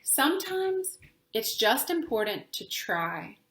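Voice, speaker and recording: A woman voicing a patient, earnest father in a storybook, explaining things in a gently firm manner. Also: high voice, sing-song, slow pace, reverberant, voice pushed up, heavily acted. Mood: explanatory.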